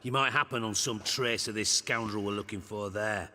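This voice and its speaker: A man's voice: fairly low pitch, moderate pace, sharp tone, big-room sound. An actor voicing a sardonic, bossy, middle-aged male spymaster in a video game, sarcastic, imperious and crude.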